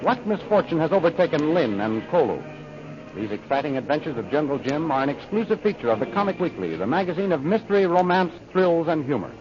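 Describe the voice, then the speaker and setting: A man's voice: fairly high pitch, big pitch swings, fairly quick. A man narrating and announcing in dramatic, declamatory 1930s radio serial style, reading from a script. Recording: muffled old radio recording, music behind. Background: music.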